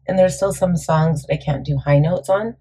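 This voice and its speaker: A woman with a gravelly morning voice, chatting casually through a makeup tutorial with lots of fillers. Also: normal speed, mellow. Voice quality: gravelly, deep. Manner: chatty, rambling, many fillers. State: morning voice, a bit crackly. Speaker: beauty vlogger.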